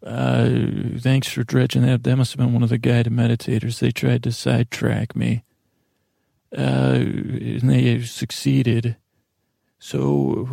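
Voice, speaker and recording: Very gravelly, mellow man in his forties. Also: mid-range voice, dry recording, studio quality. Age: 40s. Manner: meandering, halting, self-interrupting, self-deprecating. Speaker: podcast host. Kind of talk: rambling bedtime monologue for sleep.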